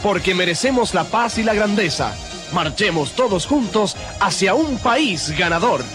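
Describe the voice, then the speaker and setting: Male campaign announcer, declamatory, high voice, strong music bed. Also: animated, unhurried. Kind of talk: declamatory political propaganda announcement.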